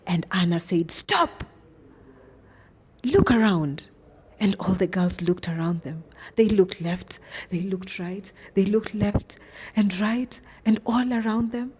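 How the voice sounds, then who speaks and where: A woman's voice: a little high, darkish tone, slightly rough. A woman telling a story to young children, with dramatic emphasis and simple, repeated phrasing. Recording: reverberant, hall-like echo.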